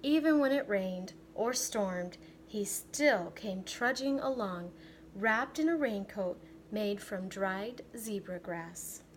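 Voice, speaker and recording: An American woman, a teacher, reading a picture book aloud with deliberate, expressive phrasing meant for children. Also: mid-range voice, slight background noise. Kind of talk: read-aloud storytelling.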